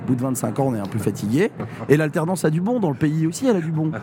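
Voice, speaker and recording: Guy from normandy doing a radio interview, bit high voice, lots of euh, faint hiss behind. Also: racing. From Normandy, France. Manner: hesitant, many fillers and restarts, firmly emphatic. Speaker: district mayor, interview guest.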